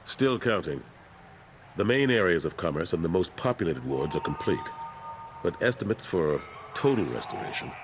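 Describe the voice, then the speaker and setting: Give this game character the voice of a principled older soldier turned statesman. He speaks firmly and plainly in a fairly low voice. His intonation moves a lot, with faint noise behind it. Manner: firm, plain-spoken. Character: gruff, principled older military man turned politician. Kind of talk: video game dialogue acting.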